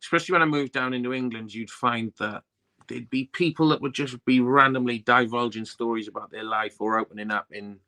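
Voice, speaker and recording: A man's voice: mid-range voice, a little fast, bright, forceful tone. A young Welsh man, a tarot reader, chatting in a rambling, laid-back way, full of "you know what I mean". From Wales. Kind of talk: casual interview chat.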